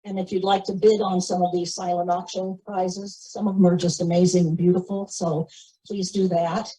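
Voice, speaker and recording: A woman's voice: on the low side, flat delivery, moderate pace, dark tone, slightly rough, dry recording, low-quality recording. A woman organizing a community event, giving informal announcements to a gathering.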